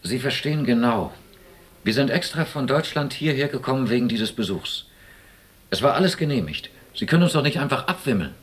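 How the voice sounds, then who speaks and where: A man's voice: medium pitch, animated, a little fast, breathy edge. An actor playing Frank, an insistent, pragmatic German man abroad, plain-spoken and impatient in a naturalistic radio drama. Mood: indignant, insistent. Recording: hissy, noisy cassette copy of radio play.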